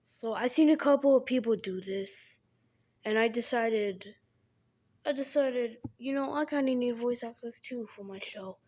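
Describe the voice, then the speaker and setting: A young woman who speaks haltingly, often repeating and restarting her words, as she talks casually to her viewers. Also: on the high side, darkish tone, breathy edge. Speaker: animation creator. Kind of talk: casual vlog appeal.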